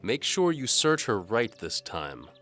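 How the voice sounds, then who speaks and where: A man's voice: medium pitch, very animated, unhurried, a bit bright, soft background music, voice pushed up. A man playing a hard, cold lawman who commands his men in an audio drama. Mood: commanding. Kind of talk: audio drama character acting.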